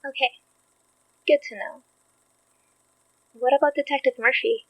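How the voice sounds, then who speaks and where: A woman's voice: high voice, fairly even intonation, unhurried, no echo, muffled recording. A woman acting an earnest, careful, slightly anxious researcher in a naturalistic audio drama. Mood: worried.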